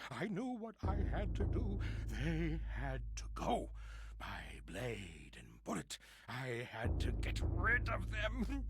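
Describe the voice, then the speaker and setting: A man's voice: medium pitch, noisy background. Wildly swinging, paranoid madman. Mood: desperate, pleading. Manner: theatrical, unhinged, swinging from hushed whispers to wailing cries. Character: paranoid, deranged murderous man confessing his killings. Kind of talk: dramatic video game monologue.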